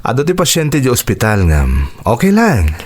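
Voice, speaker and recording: A man with an Ilocano accent playing a kindly, upbeat doctor and devoted father in a radio drama, with a warm, encouraging manner. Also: medium pitch, wildly swooping pitch, normal speed. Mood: fatherly, affectionate.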